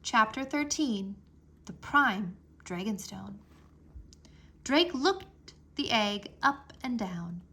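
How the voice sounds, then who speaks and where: A woman narrating a children's adventure book aloud with expressive, dramatic phrasing. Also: on the high side, slow, husky. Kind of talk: children's storybook read-aloud.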